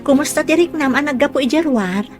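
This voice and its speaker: A woman's voice: high-pitched, lively intonation, quick pace, over music. An actress with a northern Philippine accent playing a cheerful, caring young female caregiver who teases and encourages in a radio drama. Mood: caring, teasing. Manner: teasing and encouraging.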